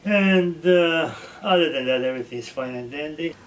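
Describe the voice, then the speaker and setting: A man's voice: fairly high pitch, normal speed. An older man joking wryly about his marriage in a casual chat. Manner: wry, joking complaints. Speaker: interviewee, husband. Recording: distant mic with loud background noise.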